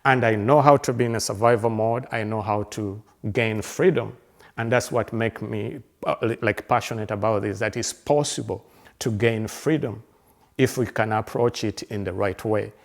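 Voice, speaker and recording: A man in his fifties from Uganda, speaking in a halting, earnest way, with many fillers and restarted phrases. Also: lowish voice, steady tone, creaky. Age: 50s. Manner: earnest and reflective. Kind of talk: reflective personal conversation.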